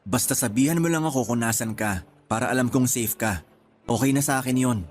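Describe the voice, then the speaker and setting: A man's voice: mid-range voice, a little fast, slight background noise, close to an everyday voice. A man with a Filipino accent playing a devoted, teasing young boyfriend in a conversational radio drama. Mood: reassuring. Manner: sweet-talking, reassuring.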